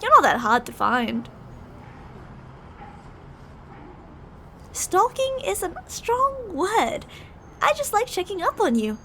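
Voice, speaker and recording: A woman's voice: very high-pitched, a bit bright, a little hiss or hum, exaggerated voice. A woman playing a possessive, delusional young yandere bully in an ASMR roleplay, teasing, insistent and emotionally volatile. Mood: sweetly pushy.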